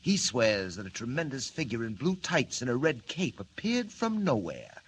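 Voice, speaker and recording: An actor playing a sly, pompous criminal mastermind in a 1940s radio serial, with formal, mocking and menacing phrasing. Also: male voice, mid-range voice, sing-song, moderate pace, natural voice. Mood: mocking, commanding. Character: sly, pompous villain mastermind called the Wolf. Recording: noisy old radio recording.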